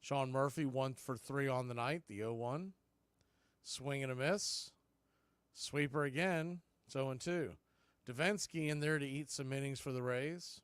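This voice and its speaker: A man's voice: medium pitch, steady tone, measured pace, clear, resonant tone, no echo. A man doing radio baseball play-by-play as the announcer, speaking in short, clipped phrases.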